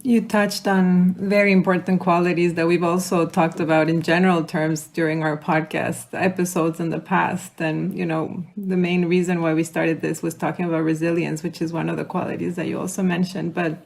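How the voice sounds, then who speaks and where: Low, even-voiced woman podcast host. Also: normal speed, creaky, hardly any pauses. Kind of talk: conversational interviewing.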